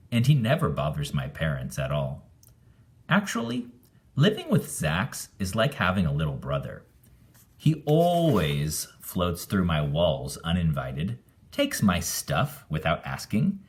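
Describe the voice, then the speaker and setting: A deep-voiced man narrates a children's storybook. He takes his time with the words and moves his pitch around widely, giving an animated, expressive read-aloud. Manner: animated, acts out the dialogue. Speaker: storybook reader.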